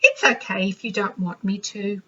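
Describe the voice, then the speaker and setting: A woman voicing a cheerful, confident young female hippo in a storybook, with exuberant, drawn-out exclamations. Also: medium pitch, pushed and bright, lo-fi sound. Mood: reassuring.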